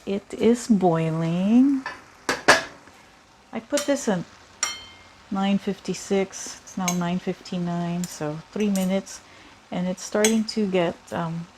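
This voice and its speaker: Slow-talking woman cooking in a noisy kitchen. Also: medium pitch. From Philippines. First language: Tagalog. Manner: chatty, thinking aloud with many fillers. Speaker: cooking vlogger. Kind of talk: casual cooking demonstration. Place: home kitchen. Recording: clear kitchen noise behind. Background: clatter of pots and cutlery.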